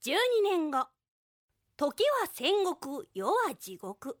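High-voiced female drama narrator. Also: sing-song, takes it slowly, dry recording. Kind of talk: scripted radio drama narration.

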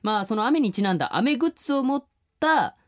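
A man's voice: very high-pitched, big pitch swings, fast, a bit bright. A man co-hosting a radio show, mixing casual, playful chat with listener announcements.

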